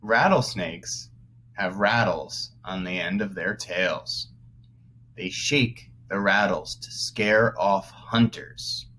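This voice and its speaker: A man teaching young children through a read-aloud, pausing to explain words as he goes. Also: sits low, sharp tone. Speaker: first-grade teacher.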